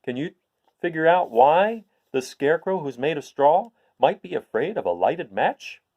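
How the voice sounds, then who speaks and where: A man reading a children's story aloud as an expressive storyteller. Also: fairly high pitch, moderate pace, dull audio. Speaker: storyteller, host of a read-aloud series.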